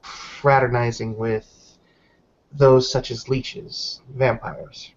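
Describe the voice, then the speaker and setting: Man roleplaying a slow, deliberate werewolf investigator. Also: medium pitch, level tone, lightly acted. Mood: guarded, sceptical. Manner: deliberate, hesitant, self-correcting. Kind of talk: improvised tabletop roleplay. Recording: dull lo-fi voice recording.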